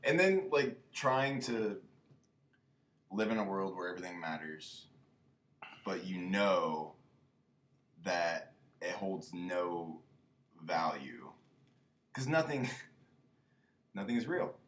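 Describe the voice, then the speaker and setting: A man co-hosting a casual podcast with a squeaky, not very deep voice, cracking sarcastic jokes and giggling. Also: animated, slow, pauses often, fairly bright tone. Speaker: podcast co-host. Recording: echoey room, a bit off-mic.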